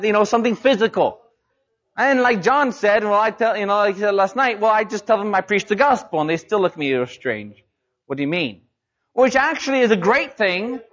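A man's voice: high voice, a bit bright, highs cut off. A man preaching a sermon as a missionary, talking casually and rising emphatically on his key points. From Mexico.

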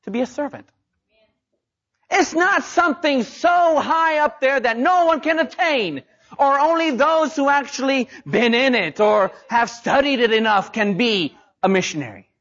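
Man, missionary preacher, high-pitched, emphatic, muffled lo-fi sound. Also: expressive, unhurried, fairly bright tone. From Mexico. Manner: emphatic, rising to high pitch on key points. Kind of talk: sermon.

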